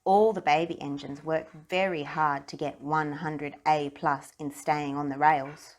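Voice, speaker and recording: A woman reads a children's picture book aloud in a fairly low voice. Her narration is expressive, leaning deliberately on key phrases. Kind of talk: read-aloud storybook narration.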